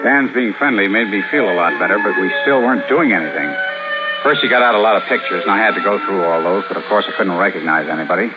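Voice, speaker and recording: A man's voice: lowish voice, quick pace, natural voice. An actor playing an anxious, agitated husband who narrates his story in a 1950s radio drama. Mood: subdued narration. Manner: agitated, stammering under pressure. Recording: old radio recording, phone-like, loud music.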